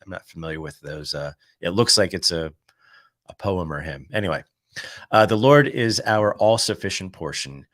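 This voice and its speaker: A man who is under the weather with a cold, reading a daily devotional aloud. He pauses between passages to share relaxed, conversational thoughts. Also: low voice, no echo, clean studio sound. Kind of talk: devotional reading with casual commentary.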